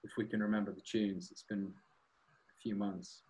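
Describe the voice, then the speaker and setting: Relaxed male singer chatting as guest. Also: on the low side, moderate pace. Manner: relaxed, rambling, self-deprecating. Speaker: guest, singer and guitarist in a band. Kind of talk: casual chat.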